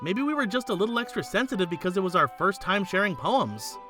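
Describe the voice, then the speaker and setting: A woman voicing an earnest, kindly high school student who narrates in the first person in a visual novel fan dub. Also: sing-song, fast talker, music in the background, close to an everyday voice. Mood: earnest, reassuring.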